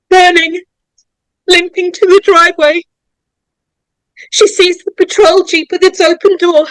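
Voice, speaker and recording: A woman narrator reading horror screenplay stage directions in a dramatic, suspenseful way, with deliberate pauses. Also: very high voice, no room sound, poor audio. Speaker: narrator of a script table read.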